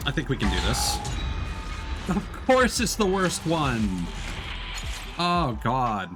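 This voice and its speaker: A man's voice: medium pitch, big pitch swings, takes it slowly. A man livestreaming a video game, giving casual, rambling commentary and thinking aloud as he plays. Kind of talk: casual live gameplay commentary. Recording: game music behind the voice. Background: video game music.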